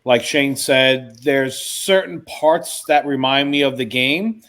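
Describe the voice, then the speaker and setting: A man's voice: mid-range voice, slow, fairly bright tone. A man chatting casually as a podcast panelist. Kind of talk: casual chat.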